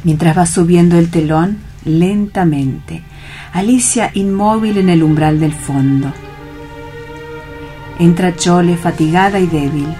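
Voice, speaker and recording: Slow, mellow, low-voiced female narrator. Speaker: narrator reading stage directions. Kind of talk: scripted radio theatre narration. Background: music.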